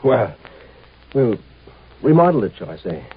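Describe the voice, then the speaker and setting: Shrewd, urbane undercover marshal, condescending, widely swinging pitch, old tinny radio drama sound. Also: male voice, very animated, unhurried, slightly rough, heavily acted. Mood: coolly reassuring. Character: shrewd, superior native agent posing as imperial marshal. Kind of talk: 1950s radio drama acting. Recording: muffled, tinny 1950s radio recording.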